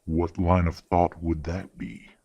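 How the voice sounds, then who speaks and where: A stern werewolf patriarch, acted by a man for an audio drama. His voice is extremely low and husky. He speaks formally and with measured authority, a courteous father set on tradition. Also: little pitch movement, darkish tone, highs cut off, full character voice. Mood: firm, proud. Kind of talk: audio roleplay drama acting.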